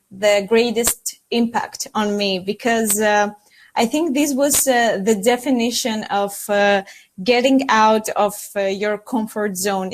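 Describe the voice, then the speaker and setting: A woman speaks slowly as a podcast guest. She pauses often and fills the gaps with small hesitations and restarts. Her voice sits at an ordinary middle pitch. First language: Greek. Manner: hesitant, many fillers and restarts. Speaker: podcast guest, former mentee. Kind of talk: unscripted podcast answer.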